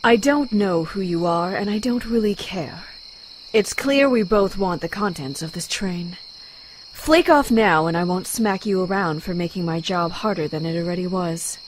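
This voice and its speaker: A woman's voice: lowish voice, moderate pace, slight background noise, close to an everyday voice, poor audio. A woman voicing a fierce, proud, hot-tempered young Amazon warrior in a motion comic, blunt and dramatic. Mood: defiant.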